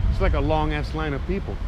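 A man's voice: a little high, a little fast, dull audio. A man in his thirties rambling casually to an arm's-length camera while walking outdoors on a sunny city street. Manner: rambling and chatty, frequent fillers. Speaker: vlogger. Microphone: action camera held at arm's length. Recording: loud street and wind noise.

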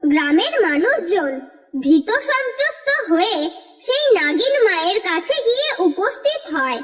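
Woman narrating a cartoon story, very high lively voice, long reverb and faint music. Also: a little slow. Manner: steady, expressive storytelling.

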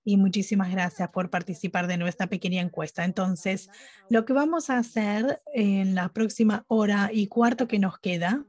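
A woman giving live interpretation at a webinar, speaking haltingly phrase by phrase. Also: medium pitch, a little mellow. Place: remote online conference audio channel.

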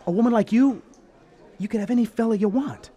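A man's voice: extremely high pitch, a little fast, warm tone. A man playing a blunt, pleading, protective friend in a noir radio drama. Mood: exasperated, scolding. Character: exasperated, protective male friend of a singer.

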